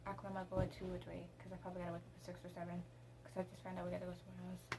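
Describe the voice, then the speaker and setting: A woman's voice: fairly low pitch, monotone, rapid-fire, background noise. A teenage girl vlogging at home, chatting casually to her camera, rambling with lots of ums. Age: teens. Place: room at home.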